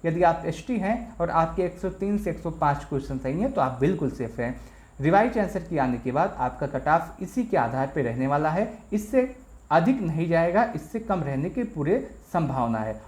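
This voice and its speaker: In an echoey room, a presenter talks at a middling pitch. The delivery is an informal explainer, speaking straight to listeners and going back over points to make them clear. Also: a little mellow. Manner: explanatory, addresses listeners directly, repeats points. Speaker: exam news presenter. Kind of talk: informal explainer on exam results.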